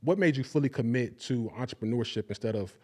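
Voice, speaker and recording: A man's voice: mid-range voice, fast, dark tone. A man hosting a podcast, interviewing his guest in a casual, laid-back way and affirming her often with short replies. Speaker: podcast host.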